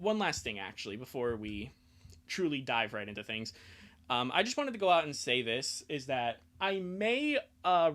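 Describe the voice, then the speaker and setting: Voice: mid-range voice, a bit bright. A livestreamer chatting casually and rambling, easily sidetracked and self-deprecating.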